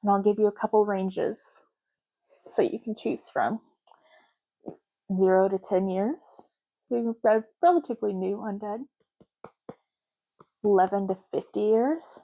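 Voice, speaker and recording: Female ASMR host as intake clerk: relaxed dark tone, mid pitch, matter-of-fact, pausing between short phrases. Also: vocal fry, poor audio. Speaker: ASMR roleplay host, playing an intake clerk making ID cards. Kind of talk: ASMR roleplay, soft-spoken personal attention.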